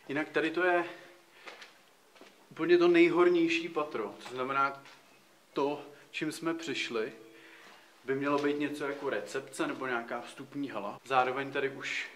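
A man's voice: medium pitch, a bit bright, slight background noise. A young man in his twenties giving loose, slangy commentary while walking through an abandoned hotel, filmed close on a handheld camera. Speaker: urbex vlogger. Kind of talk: casual walk-through commentary. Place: abandoned hotel, indoors and on rooftops. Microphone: handheld camera close to face.

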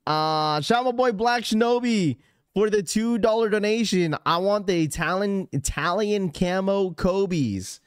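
A young man talks casually into a close studio mic from a small room, joking with his chat and laughing often. His voice sits somewhat high for a man, and it comes through dry with almost no room sound. He rambles and teases freely, sometimes slipping into mimicked voices. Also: unhurried, clear, resonant tone, no echo. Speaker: sneaker livestream host. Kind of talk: casual live stream chat. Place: small room with blue lighting, indoors. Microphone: studio mic on a boom arm, close.

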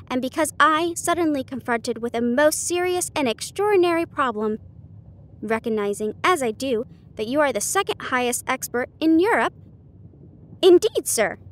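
A woman reading classic fiction aloud in a slightly halting manner, as in an intimate audio roleplay. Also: high-pitched, slight background noise. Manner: halting, stumbles over difficult words, giggly. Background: ambient sound effects.

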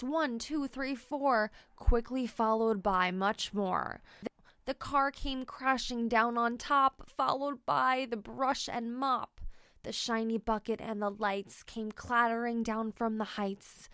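Female storybook narrator: higher-pitched, crisp, slow read-aloud for young children, dry sound. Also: steady tone, fairly bright tone, no room sound. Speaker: storybook reader.

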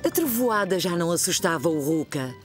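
A woman with a European Portuguese accent narrating a scripted children's story in an expressive storybook manner. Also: fairly low pitch, fairly quick, over music.

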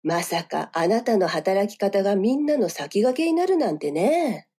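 An actress plays a cool, self-assured senior businesswoman in a voice drama. Her voice is dark and a bit breathy, with lively swings as she teases. Music plays lightly behind her. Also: mid-range voice, unhurried, full character voice. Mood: composed, teasing. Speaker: voice actor. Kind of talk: voice drama dialogue.

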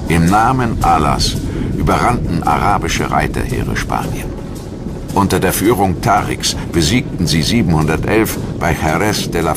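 A man reading scripted historical documentary narration in a measured, solemn and formal manner. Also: extremely low pitch. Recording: music behind the voice. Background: background music.

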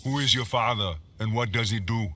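A man's voice: mid-range voice, fairly quick, close to an everyday voice. A man voicing a cold, emotionless android killer in a video game, clinical in delivery. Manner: flat, clinical. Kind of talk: video game character acting. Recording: game ambience noise behind the voice.

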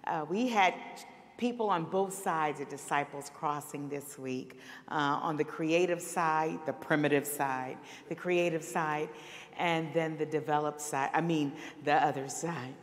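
Low, slightly raspy woman pastor. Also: measured pace. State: recovering from illness, hoarse. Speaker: senior minister. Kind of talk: pastor addressing congregation. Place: large church sanctuary, at the pulpit. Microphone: pulpit microphone. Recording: long church reverb.

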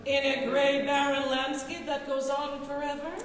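A woman playing a cryptic, wry observer of the dying in a live amateur stage comedy, with a declamatory, deliberate delivery. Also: sits high, monotone, crowd noise, natural voice. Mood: wry, ominous. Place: small theater stage with audience, indoors. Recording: echoey theater stage, faint noise.

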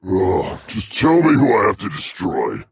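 Video game dragon brute: very raspy, gruff and boastful, pitch swinging wildly from growl to bellow. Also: male voice, huge pitch swings, unhurried, no room sound, exaggerated voice. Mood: gruff grumbling. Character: brutish, hot-tempered male dragon warrior, gruff and boastful. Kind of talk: video game character barks.

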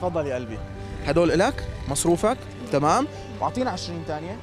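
A man's voice: on the high side, big pitch swings, music in the background. A young man with a Syrian Arabic accent hosting a cheerful street quiz interview outdoors at a scrapyard, talking into a handheld mic. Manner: fast, cheerful, teasing.

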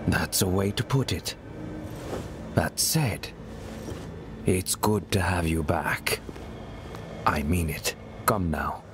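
Hooded mentor for fantasy game: knowing, formal, very measured, low register. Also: male voice, over music. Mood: impressed, measured. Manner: formal, deliberate. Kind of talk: dramatic fantasy game dialogue. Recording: noisy ambient game soundscape.

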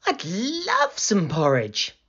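A man's voice: sits high, huge pitch swings, takes it slowly, sharp tone, breathy edge, dull audio, pitched above the performer's own voice, exaggerated voice. A man voicing a hungry, kind young girl in a children's storybook, speaking eagerly and politely. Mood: eager, puzzled.

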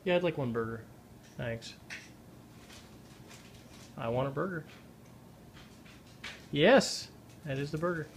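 A man's voice: fairly high pitch, takes it slowly, pauses often. Man, home vlogger, dry sarcastic delivery, casual, faint noise in a kitchen recording. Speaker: amateur vlogger. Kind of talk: casual chat to camera. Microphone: handheld camera or phone.

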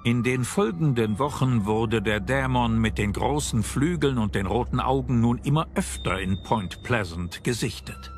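A man narrates a documentary from a script. His voice sits at a medium pitch, and music plays clearly behind him. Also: expressive, moderate pace, hardly any pauses. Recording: loud music under the voice.

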